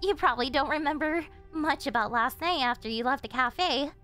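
A woman's voice: high-pitched, moderate pace, sharp tone. A woman playing an obsessive young cafe server turned kidnapper in an anime-style roleplay, sugary, teasing and possessive. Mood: calm, condescending. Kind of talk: yandere ASMR roleplay acting, anime-style. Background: music.

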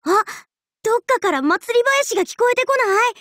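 A woman voicing a cheerful, teasing young festival-loving swordswoman in an anime mobile game, with a bubbly, playful, drawn-out delivery. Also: very high-pitched, expressive, fairly bright tone, no echo, clean studio sound.

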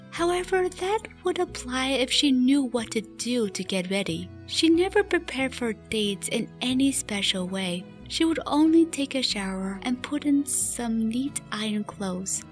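A woman reading scripted story narration as the narrator of a fan radio play. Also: fairly high pitch. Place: recorded voice-over with music behind.

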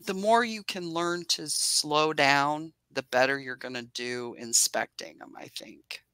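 A woman's voice: low voice, measured pace, bright, forceful tone, clean tone, dry recording. A woman in her forties with a soothing voice, chatting casually about her hobby over a video call and laughing often. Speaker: beekeeper guest. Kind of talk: casual livestream chat. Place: home office.

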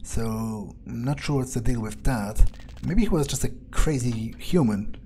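A man's voice: mid-range voice, measured pace, mellow, slight background noise. A man improvising in character while playing a game, thinking aloud in a hesitant, casually profane, rambling way. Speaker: let's player.

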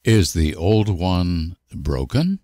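A man reading short example sentences for language learners with deliberate, careful enunciation. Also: sits low, very animated, dark tone, dry recording, clean studio sound. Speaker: language teacher.

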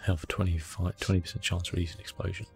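A man speaks in a low, hushed murmur with a gravelly creak in it. He gives relaxed ASMR gameplay commentary, often slipping toward a whisper, with faint music underneath. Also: quick pace. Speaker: gaming video host.

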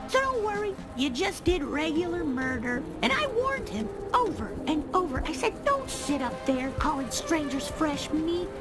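A fed-up alien mom in a comedy game. Her high voice is blunt and deadpan, full of tired resignation. Music plays clearly behind her. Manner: deadpan, resigned, passive-aggressive. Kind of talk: comedic video game acting.

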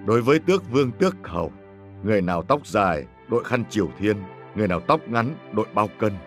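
A man's voice: on the low side, expressive, quick pace, faint music behind. A man voicing a humble, careful minister in a historical cartoon, addressing a ruler with formal deference. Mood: deferential. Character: humble, careful court minister advising his emperor.

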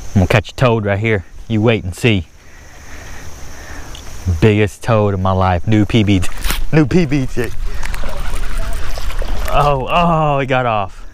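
A man's voice: fairly low pitch, unhurried, pauses often. A man vlogging casually as he fishes from a kayak, exclaiming in slangy bursts, recorded outdoors on a camera he wears. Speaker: fishing vlogger. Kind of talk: casual excited chat while fishing. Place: outdoors on a kayak on an open lake. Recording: loud outdoor boat and water noise. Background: water and boat noise.

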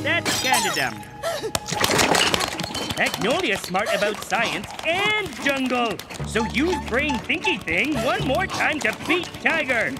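An actor voicing a friendly, dim-witted, childlike muscular jungle man in a cartoon, speaking earnestly in broken caveman grammar. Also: mid-range voice. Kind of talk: animated cartoon comedy acting. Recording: loud cartoon music behind the voice.

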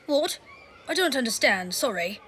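Polite English boy, high voice, slow, plaintive, bewildered, cartoon character. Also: sing-song, noisy background. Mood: confused. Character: baffled, polite English schoolboy. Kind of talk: cartoon dialogue.

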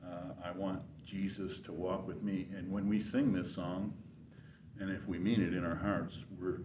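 An older man giving an informal devotional talk to viewers in a folksy, halting, earnest way. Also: low-pitched, little pitch movement, noisy background. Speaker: gospel singer and channel host. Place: church sanctuary, indoors. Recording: roomy, distant, dull sound.

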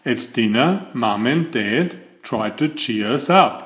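Older male storyteller, animated pitch, expressive read-aloud delivery, slightly echoey room. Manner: slow, deliberate, expressive phrasing. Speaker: children's book reader and host.

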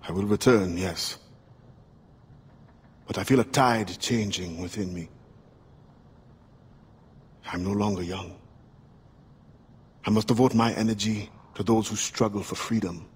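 An actor playing a solemn middle-aged assassin and freedom fighter in a video game, speaking gravely and deliberately in formal phrases. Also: male voice, lowish voice. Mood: earnest conviction. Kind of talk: cinematic video game acting.

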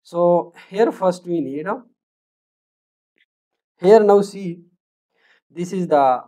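A man, talking through a screen-recorded coding tutorial in a halting way, with many fillers and restarts as he thinks aloud. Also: fairly high pitch, dark tone. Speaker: programming tutor.

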